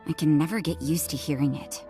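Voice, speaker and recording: A woman playing a jaded, cold, unsociable woman in a video game, with a dry, curt, deadpan delivery. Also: low voice, a little fast, soft background music, natural voice. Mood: jaded, indifferent. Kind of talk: video game character acting.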